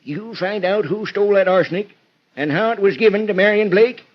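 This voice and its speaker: Man acting a high-pitched crusty old country constable. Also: fairly quick, heavy background noise, close to an everyday voice. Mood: feisty. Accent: rural American. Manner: folksy, cantankerous drawl. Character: crusty old small-town constable, folksy and cantankerous. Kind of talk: 1940s radio acting. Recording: dull vintage radio recording.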